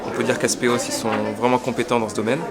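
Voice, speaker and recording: A man's voice: medium pitch, flat delivery, very fast. A man presenting his photography portfolio, hesitating and repeating words as he speaks. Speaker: photography student. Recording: loud music and crowd. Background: music and crowd chatter.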